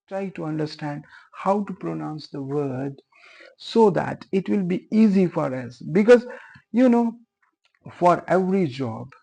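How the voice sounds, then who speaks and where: A man's voice: fairly high pitch, creaky, dark tone, slightly rough. A young man whose first language is Urdu, earnestly explaining a beginner's tutorial and repeating his points, with many fillers. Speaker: teacher.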